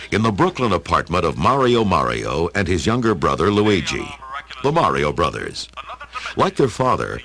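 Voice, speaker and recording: A man giving dramatic, measured scripted narration for an audio story, in a theatrical storytelling manner. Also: low voice, fairly bright tone. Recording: music under the narration. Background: music.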